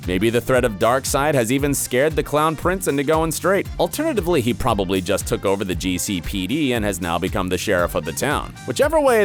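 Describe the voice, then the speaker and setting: A man narrates a scripted film breakdown at a middle pitch, with intonation that rises and falls dramatically. His delivery is emphatic yet conversational, like an online presenter. Faint music plays behind him. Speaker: video narrator.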